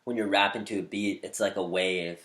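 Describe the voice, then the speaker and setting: A man with a slightly nasal voice giving upbeat, spiritual advice on using your voice, shifting between quick bursts and slow, deliberate lines. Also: low voice, fairly even intonation, a bit bright, roomy sound. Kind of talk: motivational talk to camera.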